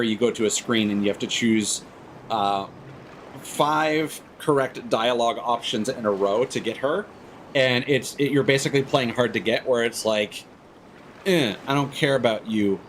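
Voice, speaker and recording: A man's voice: medium pitch, a little hiss or hum. A man hosting a game livestream, giving rambling, opinionated explanations in a casual, chatty way.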